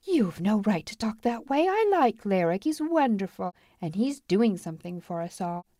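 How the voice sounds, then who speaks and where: A woman's voice: medium pitch, big pitch swings, normal speed, warm tone, dry recording, studio quality, pitched above the performer's own voice. Woman acting an impatient young frontier girl. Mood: hurt, defiant.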